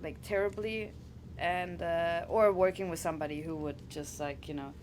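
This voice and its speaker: A woman's voice: fairly low pitch, steady tone, normal speed, darkish tone, a little hiss or hum. A woman whose first language is German, chatting casually as an interview guest, laughing often and restarting her sentences. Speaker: wrestler guest.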